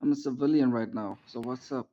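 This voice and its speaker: Brief: man doing a slangy, evasive civilian, flat delivery, muffled game chat. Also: mid-range voice, fairly quick, close to an everyday voice. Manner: casual, evasive street talk, repeated "man". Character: pushy young male civilian wanting to enlist.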